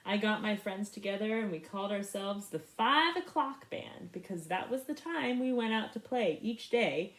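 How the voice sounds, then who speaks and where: A woman reading a picture book aloud in an expressive, animated way for young listeners. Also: medium pitch, roomy sound, poor audio. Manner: animated, pauses to ask young listeners questions. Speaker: storybook reader. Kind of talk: expressive children's read-aloud.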